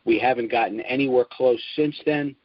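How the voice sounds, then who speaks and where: A man's voice: mid-range voice, normal speed, no echo. Dull-sounding older American man hosting a call. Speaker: spokesman and host of a conference call. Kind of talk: informal business briefing on a conference call. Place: at home, recorded over a conference call. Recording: dull, dry phone conference audio.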